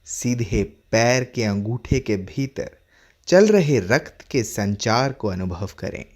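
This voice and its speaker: A man working as a yoga instructor, leading a guided relaxation in short instructive phrases with long pauses between them. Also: medium pitch, animated, darkish tone.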